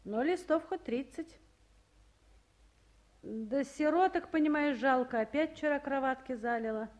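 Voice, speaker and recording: A busy official with a high feminine voice. She is used to giving orders, yet has a motherly streak, acted in the manner of 1950s radio theatre. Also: unhurried, a little mellow, lo-fi sound. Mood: firm, reproachful. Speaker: official in charge. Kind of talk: 1950s Russian radio play acting.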